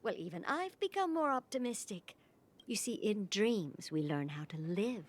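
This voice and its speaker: An actress performing a tender elderly woman in a story game. Her voice rises and falls a great deal, and she talks in a soft, reassuring way. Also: mid-range voice, very animated, moderate pace, a little hiss or hum, lightly acted. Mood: gentle. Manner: gentle, warm, reassuring. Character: kindly old woman caring for orphans. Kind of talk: game voice acting.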